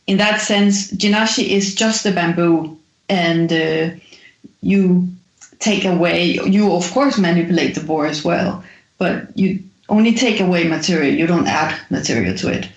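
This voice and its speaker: Echoey, muffled woman explaining at length. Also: on the low side. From Denmark. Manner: thoughtful, halting, with frequent fillers. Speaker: guest musician. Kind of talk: explanatory interview talk.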